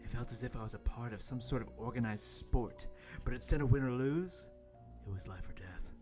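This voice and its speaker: A man's voice: medium pitch, brisk, warm tone, faint music behind, lightly acted. A man playing an earnest young intern, narrating an amateur radio play with overwrought seriousness. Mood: dramatic narration. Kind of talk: amateur radio-play table read, first-person narration.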